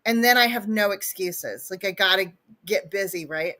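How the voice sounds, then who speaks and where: A woman's voice: medium pitch, normal speed, pushed and bright. A sniffly woman chatting casually and enthusiastically as co-host of a livestream, laughing often and talking over a video call.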